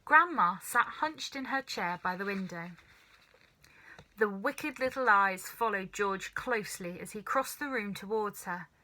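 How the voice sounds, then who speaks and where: Bright-voiced woman reading a children's story. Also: medium pitch, lively intonation, a little slow. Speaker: storybook reader. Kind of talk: scripted, expressive children's story narration.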